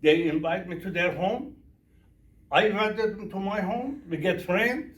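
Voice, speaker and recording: Slow-talking Persian-accented man, echoey room. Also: high voice. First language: Farsi. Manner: broken English, repeats questions to the audience. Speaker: minister. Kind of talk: workshop talk with audience questions. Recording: echoey room from a distance, faint noise.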